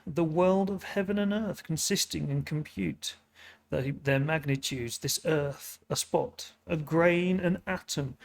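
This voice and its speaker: An earnest, wondering first man questions the heavens in solemn verse, voiced by a British man reading aloud. The voice is gravelly, hoarse and stuffy, and the delivery is slow and measured. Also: a little high. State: sniffly and hoarse.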